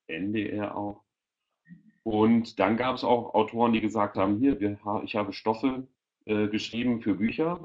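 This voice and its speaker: A middle-aged man explaining in an informal, somewhat hesitant way during an online presentation over a headset. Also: on the low side. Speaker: panel guest presenting a children's audio portal. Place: indoor room, video call. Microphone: headset on a video call. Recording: dull lo-fi video-call audio.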